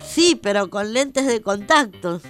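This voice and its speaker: A woman's voice: mid-range voice, expressive, takes it slowly, faint music behind. A woman with a Rioplatense Argentine accent co-hosting, trading playful lines in a radio sketch. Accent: Rioplatense Argentine Spanish. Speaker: radio co-host.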